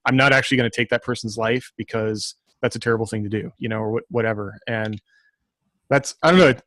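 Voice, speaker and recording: A man's voice: medium pitch, fairly even intonation, quick pace, a bit bright, dry recording. A man on a podcast panel chatting casually, thinking aloud in a tentative way. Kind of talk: casual group podcast chat.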